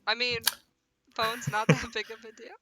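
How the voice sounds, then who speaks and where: A woman streaming a visual novel, reading it aloud with animated, slangy, foul-mouthed commentary. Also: on the high side, normal speed, a crowd in the background. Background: faint music.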